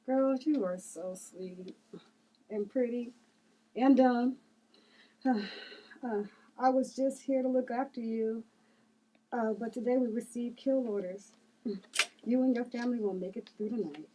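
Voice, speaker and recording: A woman acts out a taunting assassin in a read-through drama. Her voice is fairly high and mellow, with a drawn-out, mocking relish. The recording has clear background noise. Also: lightly acted, rough recording. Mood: mocking menace. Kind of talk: script table read acting.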